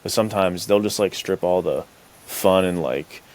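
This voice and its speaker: A man with a fairly low voice hosts a podcast in casual conversation. His talk is laid-back and slangy, full of like and dude, as if chatting with friends. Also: moderate pace, darkish tone. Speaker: podcast host.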